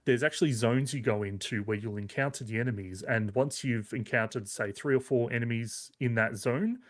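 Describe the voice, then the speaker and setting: A man's voice: medium pitch, moderate pace. A man giving a casual, conversational game review as a podcast reviewer, rambling a little with hesitant but enthusiastic phrasing.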